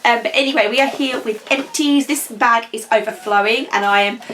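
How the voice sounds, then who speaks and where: A young woman with a slightly croaky voice, chatting casually through a beauty product review and rambling with frequent ums. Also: on the high side, a little fast, a bit bright, a little hiss or hum, rough recording. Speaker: beauty vlogger. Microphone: camera.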